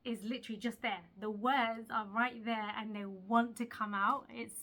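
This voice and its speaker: Young woman vlogger, fairly high and bright, chatty and earnest, speaks straight to viewers. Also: steady tone, moderate pace. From Eritrea. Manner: chatty and earnest, laughs at herself, asks the viewer questions. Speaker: video creator. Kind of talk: casual motivational talk to camera.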